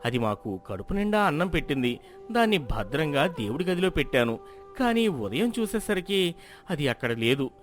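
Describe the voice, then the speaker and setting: A man voicing an honest, gentle, forgiving villager in a moral tale, speaking calmly and earnestly. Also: on the high side, moderate pace, soft background music. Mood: worried.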